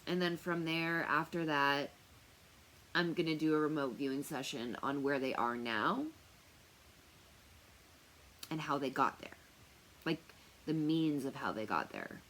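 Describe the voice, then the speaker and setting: A woman talking casually to camera as a tarot reader, chatty and rambling with lots of fillers, with a loud, projecting voice. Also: sits low, lively intonation, measured pace, pauses often, slight background noise, rough recording. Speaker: tarot reader and remote viewer. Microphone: noise-canceling earphones on.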